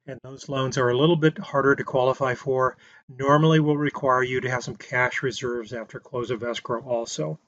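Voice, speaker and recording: A man's voice: mid-range voice, fairly even intonation, normal speed, a bit bright, no echo, lo-fi sound. A man lecturing on home loans over slides in a plain explanatory way, often restarting his sentences. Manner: plain explanatory delivery with restarts and filler words. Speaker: real estate instructor.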